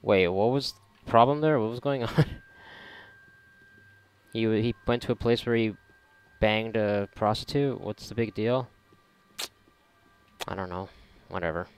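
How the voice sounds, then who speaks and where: A man's voice: on the low side, measured pace, long pauses, clear, resonant tone. A man giving casual, sarcastic, rambling and profane commentary as he plays a video game. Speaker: gamer commentating on a game playthrough. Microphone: close mic, voice-over on gameplay.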